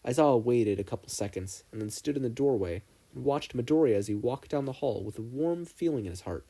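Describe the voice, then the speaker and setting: A man's voice: mid-range voice, animated, brisk, warm tone, slightly rough. A man reading a fan fiction story aloud as an amateur narrator. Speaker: podfic narrator.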